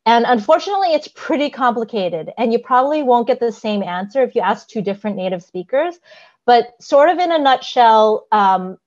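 A woman's voice: a little high, lively intonation, normal speed, a little mellow, lo-fi sound. A woman co-hosting a podcast, explaining things carefully in casual chat, with frequent "um"s and a giggly manner. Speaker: podcast co-host.

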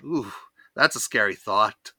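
A podcast host recaps a cartoon with theatrical flair. The voice is bright and very animated, rising and falling freely. It is recorded dry and close. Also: mid-range voice, unhurried, breathy edge, no echo. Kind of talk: lively cartoon episode recap.

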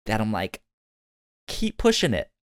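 Man, podcast host, halting repeated words, casual review talk, dry close sound. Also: lowish voice, huge pitch swings, dry recording.

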